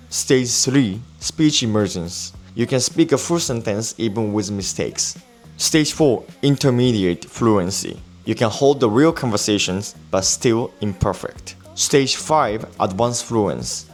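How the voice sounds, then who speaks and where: A man with a Japanese accent, a native Japanese speaker and teacher, explaining language learning in a calm, reassuring scripted lesson. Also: mid-range voice.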